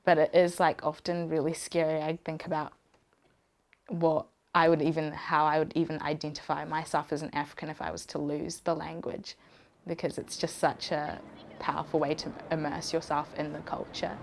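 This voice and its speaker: A woman's voice: low voice, flat delivery, normal speed, creaky. An eighteen-year-old woman from Zimbabwe, a native Shona speaker, talking thoughtfully in a casual interview with many fillers.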